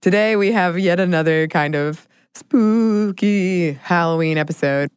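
A woman's voice: lowish voice, a little slow, smooth, dry recording, clean studio sound. An American woman chatting casually as a podcast host. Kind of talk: casual co-hosted podcast chat.

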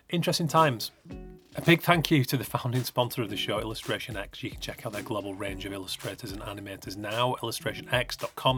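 A man's voice: on the low side, fairly bright tone. A man in his thirties hosting a podcast, talking in an earnest, rambling, passionate way. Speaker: podcast host. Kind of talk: podcast intro and interview.

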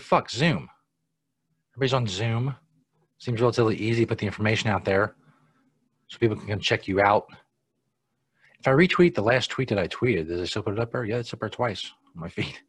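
A husky-voiced American man hosts a casual live show, swearing freely and making dry, sardonic remarks. The sound is close and dry, with no room behind him. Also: on the low side, a bit bright, dry recording. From United States. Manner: profane, sardonic, mutters asides to himself. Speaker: podcast host.

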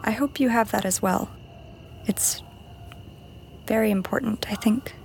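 A woman playing a gentle, sincere character in an audio drama, soft, earnest and reassuring. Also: a little high, unhurried, vocal fry, slight background noise. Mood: gently reassuring. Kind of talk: audio fiction drama acting.

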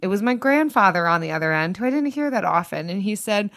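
A woman co-hosting a podcast, chatty and quick to laugh, telling stories casually. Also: medium pitch, animated, fairly bright tone, dry recording, clean studio sound. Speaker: podcast co-host. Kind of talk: casual chat.

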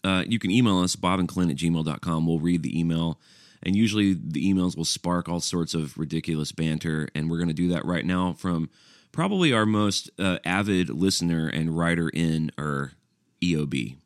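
A man's voice: deep voice, normal speed, no room sound. A male podcast co-host and musician from Alabama, teasing his partner with dry, wry humor. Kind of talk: casual podcast banter.

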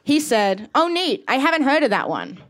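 A woman performing a comedic personal story live, wry and sweary, with deadpan asides. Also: high-pitched, normal speed, a crowd in the background. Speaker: comic artist reading own story to an audience.

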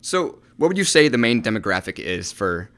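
A man's voice: on the low side, sing-song, normal speed, fairly bright tone, clean tone. A man hosting a podcast interview, asking questions and giving brief affirmations. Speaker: podcast host.

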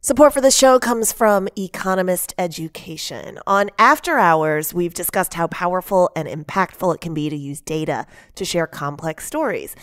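A woman's voice: lowish voice, no room sound, clean studio sound. A woman podcast host delivering a scripted sponsor message. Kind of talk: scripted advertisement read.

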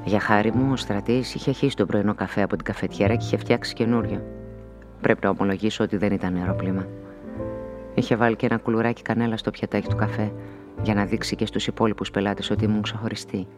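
Low-voiced reflective narrator over music male performer. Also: fairly even intonation, close to an everyday voice. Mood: reflective, wistful. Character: reflective, inquisitive woman narrator who smokes, unmarried. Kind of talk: literary first-person radio drama narration.

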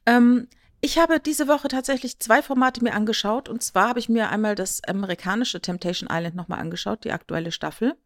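A woman's voice: a little high, brisk. A woman co-hosting a podcast in casual chat, explaining things in a chatty, lightly ironic way. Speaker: podcast co-host.

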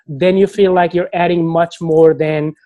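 Halting man talking, dry sound. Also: on the high side, little pitch movement, warm tone, dry recording, dull audio. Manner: thoughtful, halting, restarts often. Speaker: podcast guest. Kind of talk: casual conversation.

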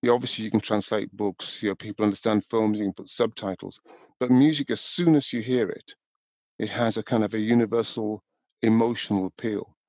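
A man, talking thoughtfully and in a measured way as an interview guest. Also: fairly low pitch, steady tone, darkish tone, no echo, highs cut off. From north of England, born in Newcastle. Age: 70s. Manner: thoughtful, measured, with repeated "you know". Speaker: podcast guest, music industry professional. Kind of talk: reflective interview conversation.

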